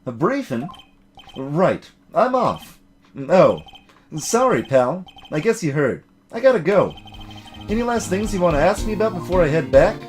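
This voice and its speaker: Man doing a bumbling, animated police detective. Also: fairly high pitch, unhurried, soft background music. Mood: apologetic, helpful. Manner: blunt, folksy, ends remarks with "pal". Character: bumbling, eager, friendly male police detective.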